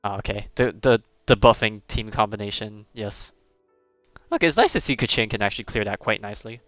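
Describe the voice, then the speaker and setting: A man live-streaming a game, chatting casually with viewers and thinking aloud in halting, repetitive phrases. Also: fairly low pitch, animated, fairly bright tone, dry recording, lo-fi sound. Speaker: game livestreamer. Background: game music and cutscene audio.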